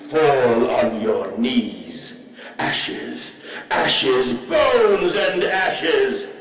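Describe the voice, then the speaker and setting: A fanatical machine villain from a video game, heard from a distance in an echoing space. The voice is slow and rather high, swinging widely as it preaches with grandiose scorn. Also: male voice, animated, fairly bright tone. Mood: fanatical rapture. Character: sentient industrial machine, fanatical, mocking male zealot.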